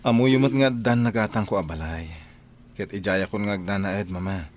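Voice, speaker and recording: A man's voice: fairly low pitch, normal speed, slight background noise, lightly acted. A man with an Ilocano accent playing a defiant, argumentative grown son in a radio drama. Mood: firm, resolute. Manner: emphatic, argumentative. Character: defiant grown son standing up to his mother.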